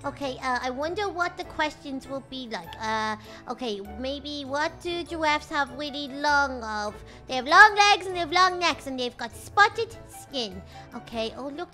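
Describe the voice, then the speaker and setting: A woman gamer plays an excitable little kid and gushes over everything she finds. Her voice is high and bright. She talks in a giddy, running commentary as she looks around. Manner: excitable, gushing, narrates what she sees. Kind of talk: roleplaying a little kid in a game.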